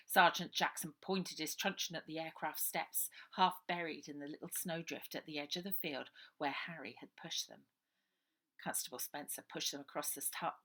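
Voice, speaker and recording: A woman's voice: deep voice, creaky, pushed and bright. A woman reading her children's novel aloud as a dramatic storybook narration.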